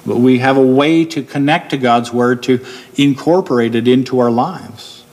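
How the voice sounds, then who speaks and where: A middle-aged man preaching a sermon from a church pulpit. He explains steadily and slows down to stress key points. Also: medium pitch, darkish tone. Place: church hall with a wooden pulpit.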